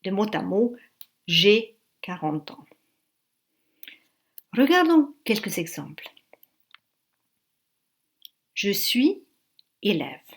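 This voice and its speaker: Woman in her 40s doing a beginner lesson, takes it real slow, spells things out. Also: mid-range voice, big pitch swings. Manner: slow, careful classroom delivery. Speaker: French teacher.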